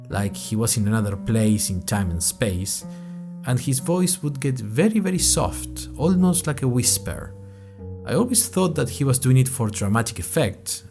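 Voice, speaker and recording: A man's voice: medium pitch, vocal fry. Man playing an anxious, wry freshman podcaster. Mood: uneasy, reflective. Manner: rambling, wry asides, self-conscious. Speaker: narrator and host of a fiction podcast. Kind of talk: scripted first-person audio drama monologue.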